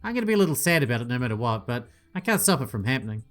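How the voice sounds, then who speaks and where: A man's voice: medium pitch, sing-song, very fast, a bit bright, soft background music. Playful man reading a visual novel aloud. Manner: playful, swearing asides, voices each character. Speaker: gaming channel host.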